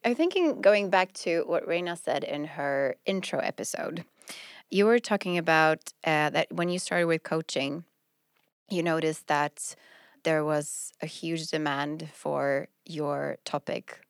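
A woman co-hosting a podcast in playful casual chat, her voice husky from a cold. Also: low-pitched, a little slow, no echo, studio quality. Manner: playful, chatty. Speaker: podcast co-host.

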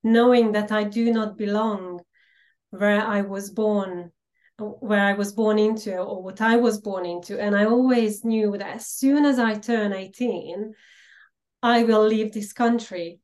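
A middle-aged woman from Slovakia with a Hungarian-Slovak accent, a podcast guest telling her life story earnestly with frequent pauses. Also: medium pitch, fairly even intonation, a little mellow. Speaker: podcast guest, empowerment coach. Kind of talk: personal storytelling interview.

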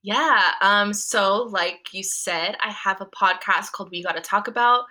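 A woman's voice: mid-range voice, measured pace, pushed and bright. A young woman talking as a podcast guest in a casual interview, chatty and full of "like" and "um" fillers.

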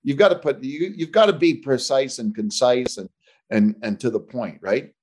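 A man's voice: medium pitch, moderate pace, a little mellow. A man hosting a podcast interview, talking casually and often checking in with "right?". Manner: conversational, asks questions, tags "right?".

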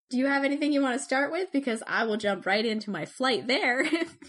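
A woman co-hosting a podcast, telling stories in an animated, chatty way. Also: sits high, brisk. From Canada. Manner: chatty, animated storytelling with laughs. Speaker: podcast co-host. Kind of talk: casual chat.

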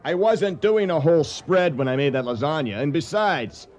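An actor playing a vain, touchy, competitive gourmet cook in a comedic radio drama, boastful and patronizing. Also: male voice, fairly high pitch, animated, normal speed, a little mellow, lightly acted. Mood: indignant.

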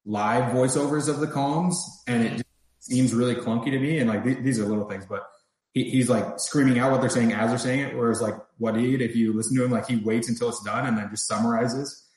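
A man's voice: medium pitch, fairly even intonation, fairly quick, a little mellow. A man co-hosting a casual podcast chat, telling stories in an amused, rambling way. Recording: very echoey room.

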